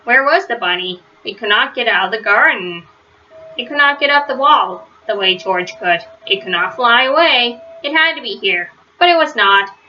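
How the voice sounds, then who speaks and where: A woman reading a children's story aloud, phrasing it simply and deliberately and stressing words for young listeners. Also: fairly high pitch, animated, muffled recording, a little hiss or hum. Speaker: storybook reader. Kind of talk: children's read-aloud narration.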